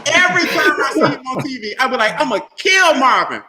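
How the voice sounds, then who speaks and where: A man telling a long, animated story on a group video call as host and trainer, loud and laughing, with casual slang. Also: very high-pitched, fairly quick. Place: home room on video call. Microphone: webcam or laptop mic on video call. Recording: echoey room, faint noise.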